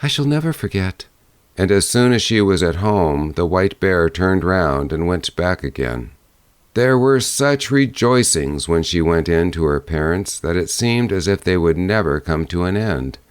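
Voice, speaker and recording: A man narrating a fairy-tale audiobook in a measured storytelling manner. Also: low voice, animated, clear, resonant tone, no room sound.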